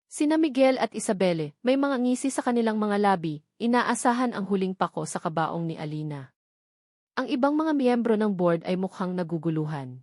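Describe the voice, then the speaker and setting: A woman's voice: mid-range voice, darkish tone, no room sound. Woman narrating a dramatic story, dry sound.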